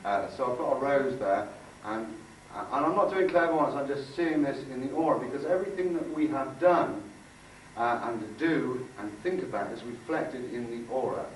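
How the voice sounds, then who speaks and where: A lower-pitched man gives an informal workshop talk to a group. He speaks in a halting, conversational way, circling back over phrases. He is picked up at some distance from the microphone in a very echoey room. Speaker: workshop speaker and aura healer. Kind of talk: informal talk with demonstration and guided visualisation. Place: indoor room before a group. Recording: echoey room, distant mic, faint noise.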